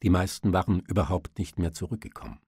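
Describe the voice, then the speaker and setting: A man narrates an audiobook with dramatic, literary delivery. His voice is low, mellow and husky, recorded close and dry. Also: fast talker, dry recording.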